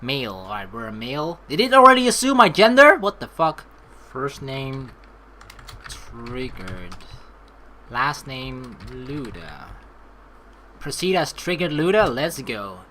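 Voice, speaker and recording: Bright-voiced man doing gaming commentary. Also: medium pitch, very animated, slow pace, a little hiss or hum. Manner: joking, casually profane, rambling. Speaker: let's play host. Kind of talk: casual gaming commentary with read-aloud game text. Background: background music.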